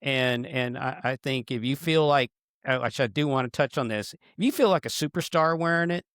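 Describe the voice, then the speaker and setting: A man, a running coach, talking casually on a podcast in a halting, joking way with many restarts. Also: mid-range voice, a bit bright, clean tone, dry recording, studio quality.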